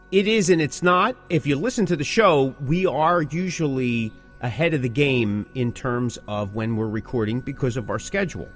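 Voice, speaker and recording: A man's voice: mid-range voice, fairly bright tone. A middle-aged man co-hosting a podcast in casual chat, emphatic and prone to repeating his phrases. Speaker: podcast co-host.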